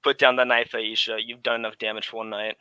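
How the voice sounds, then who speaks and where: A man plays a brazen impostor villain in a radio play. The voice is fairly low but bright and forceful, recorded dry, with a formal, defiant manner. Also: little pitch movement, quick pace, smooth, dry recording, low-quality recording. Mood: urgent. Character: brazen male impostor and deserter, smooth-talking villain. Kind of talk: radio drama acting.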